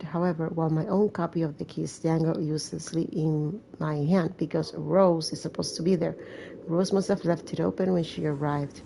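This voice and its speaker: Low-pitched, creaky-voiced woman gamer. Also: dark tone, a little hiss or hum, poor audio. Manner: casual, chatty, joking asides. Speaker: gamer playing and reading aloud a horror visual novel. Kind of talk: gameplay commentary mixed with story reading.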